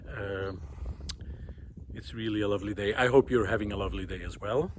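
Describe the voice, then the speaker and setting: Thoughtful, halting man with outdoor background noise. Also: fairly low pitch. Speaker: host of a walking video series. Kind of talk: reflective monologue while walking. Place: outdoors on a country road in hilly farmland. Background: outdoor ambient noise.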